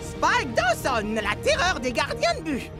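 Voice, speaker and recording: Showy techie professor for a cartoon: high pitch, hugely varied intonation, loud score behind. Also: female voice, wildly swooping pitch, unhurried, over music, heavily acted. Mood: grandly announcing. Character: eccentric, showy techie professor presenting inventions. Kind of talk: French cartoon dub acting.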